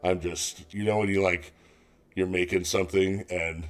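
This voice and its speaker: Low-voiced Californian man, dryly joking. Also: steady tone, moderate pace, faint music behind. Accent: Californian American. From San Jose, California, USA. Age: 40s. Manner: joking, dry self-deprecating humour. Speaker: podcast guest. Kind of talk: casual chat.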